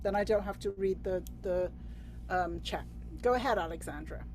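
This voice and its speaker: A woman's voice: medium pitch, moderate pace, a little mellow, slight background noise. A woman from England hosting a video-call workshop, bubbly and chatty, with many fillers and restarts. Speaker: workshop host.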